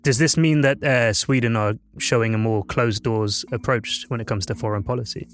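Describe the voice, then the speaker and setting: A man's voice: mid-range voice, fairly even intonation, moderate pace. A man hosting a podcast, asking questions in a loose, conversational way with frequent fillers. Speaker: podcast host. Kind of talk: podcast discussion.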